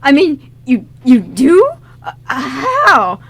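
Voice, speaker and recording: A woman's voice: high-pitched, wildly swooping pitch, drawn-out words, vocal fry, fairly bright tone, exaggerated voice. A woman voicing a nervous teenage boy with a crush in an amateur radio play, stammering and flustered. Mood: amazed, excited. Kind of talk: amateur radio script reading.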